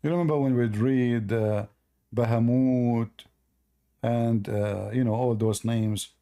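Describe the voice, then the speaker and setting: A man whose first language is Arabic, hosting a live call-in debate and arguing forcefully while reading from sources. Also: mid-range voice, steady tone, slow pace. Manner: forceful, argumentative, repeats himself. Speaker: host of a call-in debate stream.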